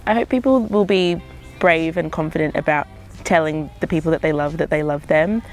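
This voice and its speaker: A young woman from Zimbabwe, a singer-songwriter, speaking reflectively in an interview, often pausing with "um" and "sort of". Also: low-pitched, faint music behind. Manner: thoughtful, hedging with frequent "um" and "sort of".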